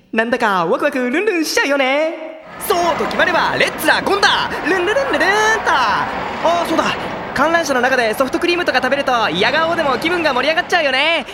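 A woman voicing an excitable, timid, lovestruck young boy in an anime audio drama, with a boyish voice and a melodramatic delivery. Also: high voice, background noise. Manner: melodramatic, swinging between chatter, wails and sighs. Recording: music playing loudly behind.

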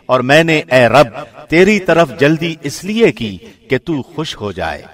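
Man reading scripture translation, measured and formal. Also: a little high, big pitch swings. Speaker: professional radio presenter reading scripture translation.

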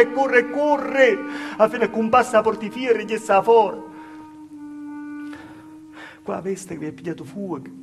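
An actor playing a humble, wronged southern Italian village woman in a plaintive theatrical monologue, with a Calabrian accent and repetitive storytelling. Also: sits high. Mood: pained, stunned. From southern Italy, Calabria. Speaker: stage actor performing a monologue. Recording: live stage performance with music. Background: live music.

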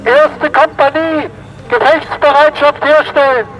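An actor playing a soldier in a radio drama, shouting drawn-out battle commands. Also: male voice, very high voice, fairly even intonation, highs cut off. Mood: barking, urgent. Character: barking male soldier shouting battle orders. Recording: noisy outdoor scene with vehicles.

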